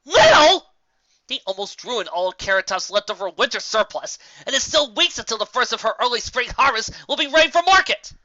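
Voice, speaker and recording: A woman's voice: fairly high pitch, big pitch swings, fairly quick, bright, forceful tone, no echo, dull audio, pitched above the performer's own voice. A woman voicing a hot-tempered young pegasus pony in an indignant, blunt manner for a story reading.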